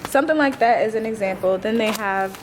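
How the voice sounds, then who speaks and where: Woman, twenties, medium pitch, informal vlog talk, slangy wry asides, noticeably noisy recording. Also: a little fast. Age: 20s. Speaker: teacher and vlogger. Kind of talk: casual vlog chat.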